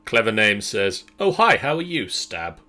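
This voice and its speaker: Male player, lowish bright voice, tossing out dry jokes in relaxed group banter. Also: measured pace. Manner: joking, wry. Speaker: player in a group gamebook session. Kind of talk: casual banter.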